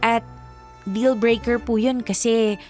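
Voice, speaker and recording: A woman's voice: fairly high pitch, fairly even intonation, slow, darkish tone, soft background music. An actress playing a sweet, polite young Filipino girlfriend, gushing and giggly, in a radio drama. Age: young adult.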